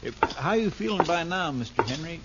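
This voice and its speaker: An actor playing an earnest, deferential, folksy helper to a marshal in 1950s radio drama. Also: male voice, on the high side, lively intonation, fairly quick. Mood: obliging. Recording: very noisy, muffled vintage radio recording.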